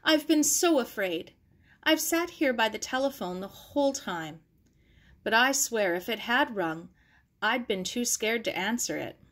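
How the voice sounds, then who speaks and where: A woman's voice: fairly high pitch, expressive, a little slow, fairly bright tone. A woman voicing a kindly, flustered, emotional aunt in a storybook reading. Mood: tearful relief. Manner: emotional, exclaiming, fussing. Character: kindly, flustered, tearful aunt, a motherly housewife.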